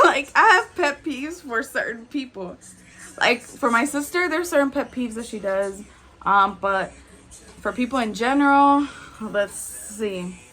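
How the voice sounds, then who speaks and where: A woman in her twenties chatting candidly as a beauty vlogger while doing her makeup, with frequent "like"s and soft laughs. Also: fairly high pitch. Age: 20s.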